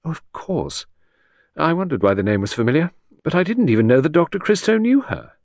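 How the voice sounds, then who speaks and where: Male narrator as young female secretary, raised pitch, crisp, precise, brusque, cool disdain, audiobook. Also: big pitch swings, fairly quick, darkish tone, no echo, studio quality. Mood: hesitant recall. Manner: crisp, precise, prompt, scornful. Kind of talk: audiobook character reading.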